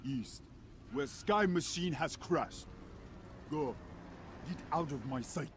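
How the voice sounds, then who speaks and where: A proud, vengeful alien war leader for a video game, fairly high for a male voice. He gives scornful, commanding lines in formal wording over clearly noisy sound. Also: lively intonation, takes it slowly. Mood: scornful anger. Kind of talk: video game character acting. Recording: clear noise behind the voice.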